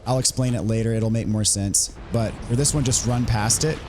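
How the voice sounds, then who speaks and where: A man with a hoarse voice he is still getting back, casually explaining a game walkthrough in a relaxed way, with frequent ums and restarts. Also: medium pitch, normal speed, a little hiss or hum. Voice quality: recovering from voice loss. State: hoarse, recovering voice. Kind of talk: casual gameplay commentary.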